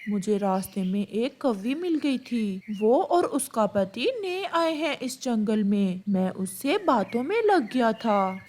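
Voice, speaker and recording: An earnest male pigeon from a children's cartoon, played by a woman. He is helpful and polite, talking at a slow, measured pace. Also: a little high, expressive, darkish tone. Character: friendly hardworking male pigeon, helpful provider.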